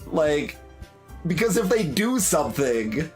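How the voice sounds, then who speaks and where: A man's voice: on the high side, very animated, slow pace. A young man giving commentary on a game, musing aloud with many fillers and restarts. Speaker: gaming YouTuber. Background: soft game music.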